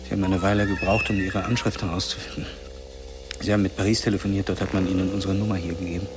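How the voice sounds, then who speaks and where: Guy acting middle-aged dad, grieving, low voice, halting, radio drama, faint noise and insects behind. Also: flat delivery, lightly acted. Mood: stunned. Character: shaken, grieving middle-aged father and lover. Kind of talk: naturalistic radio play acting.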